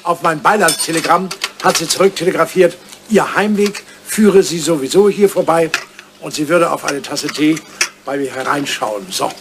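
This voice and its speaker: Excitable old lord, high creaky voice. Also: male voice, moderate pace. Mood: animated, wry. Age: older. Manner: theatrical, impulsive. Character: excitable, wistful old English lord in love. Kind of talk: German radio comedy drama acting. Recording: old radio play recording, faint noise.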